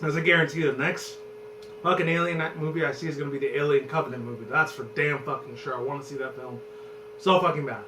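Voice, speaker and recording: A man in his twenties with a hoarse voice, rambling and cursing loosely as a vlog host talking about movies. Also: on the high side, fairly even intonation, fast. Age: 20s. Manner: loose and profane, rambles and restarts. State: hoarse from screaming. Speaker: channel host. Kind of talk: rambling casual movie chat. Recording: roomy, distant, noisy with hum.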